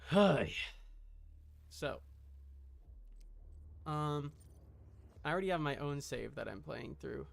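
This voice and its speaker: A man chatting casually on a game livestream, talking to himself in a halting, muttering way. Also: on the high side, lively intonation, vocal fry. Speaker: streamer.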